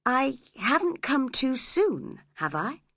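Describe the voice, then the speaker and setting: A woman voicing a courteous young gentleman with a gentle, hurt manner. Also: high voice, very animated, slow pace, no room sound, clean studio sound, pitched above the performer's own voice, exaggerated voice. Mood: polite.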